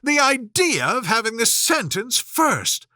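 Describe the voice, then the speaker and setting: Man voicing a bold, high-pitched indignant little girl. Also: big pitch swings, measured pace, no echo, clean studio sound, full character voice. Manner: loud, defiant.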